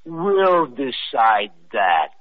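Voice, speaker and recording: An actor playing a blustering, dim-witted police sergeant, in 1940s radio acting style. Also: male voice, mid-range voice, very animated, very slow. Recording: muffled old radio recording.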